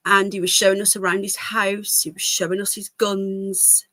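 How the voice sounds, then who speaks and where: A British woman hosts a casual livestream with a medium-pitched, slightly congested voice, recorded close and dry. She chats in a rambling, easily distracted way, hopping between asides as she addresses her viewers. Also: level tone, normal speed, sharp tone, no echo. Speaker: livestream host.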